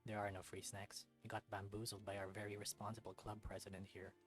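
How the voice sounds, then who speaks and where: A performer voicing a sardonic, complaining young male husky student in a visual novel. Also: low voice, little pitch movement, fast talker, soft background music, voice pushed down, natural voice. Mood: mocking. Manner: dry, complaining.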